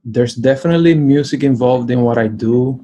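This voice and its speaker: Reflective man with a mellow voice. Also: medium pitch, slight background noise. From Puerto Rico. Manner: reflective, slow, thoughtful pauses. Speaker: sound designer and music producer. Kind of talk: casual interview answers.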